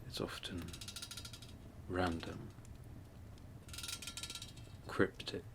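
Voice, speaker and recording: A man playing a calm, scholarly sorcerer mentor in an ASMR fantasy roleplay, speaking softly and gently in measured, near-whispered phrases. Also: deep voice, background noise, full character voice. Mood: musing, gentle. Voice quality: soft, breathy, often near whisper. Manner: slow, measured, gentle, explanatory. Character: calm scholarly male sorcerer mentor showing magical relics.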